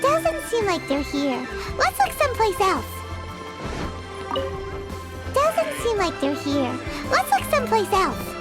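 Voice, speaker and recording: A woman's voice: takes it slowly, pauses often, full character voice. A woman voicing a tiny floating female fairy companion in a game, chirpy, eager and childlike. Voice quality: squeaky, childlike. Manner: excitable, bubbly. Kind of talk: game voice acting. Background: game music.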